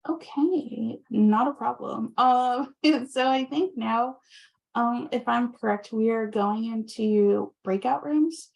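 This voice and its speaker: Hoarse woman on a video call, slight fry. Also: on the high side, slow pace, poor audio. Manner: soft-spoken, frequent ums and nervous laughs. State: slightly hoarse from long talking. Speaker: guest speaker. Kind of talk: presentation wrap-up and casual chat on a video call.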